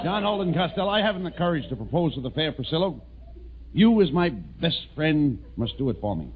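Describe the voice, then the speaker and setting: A man's voice: a little high, big pitch swings, brisk. A man playing a blustering, bossy, lovesick colonial soldier in theatrical 1940s radio comedy style. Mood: mock solemn. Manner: theatrical, emphatic, exasperated. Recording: tinny, hissy old-time radio recording.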